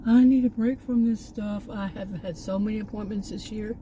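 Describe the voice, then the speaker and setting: A woman's voice: medium pitch, steady tone, moderate pace, background noise. A middle-aged woman from Texas chatting casually to her camera outdoors near a park, meandering and repeating words as she trails off. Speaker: vlogger. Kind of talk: casual rambling chat to camera.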